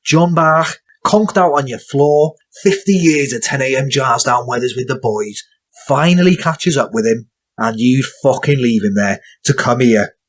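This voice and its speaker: A man playing brash, foul-mouthed working-class lads in a crime audio drama, with a husky, blunt delivery. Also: medium pitch, measured pace. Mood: seething. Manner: blunt, sweary, slangy.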